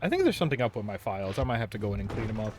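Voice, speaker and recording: A man's voice: lowish voice, very animated, racing, heavy background noise. A man livestreaming a game, chatting casually in a distracted, musing way that often trails off.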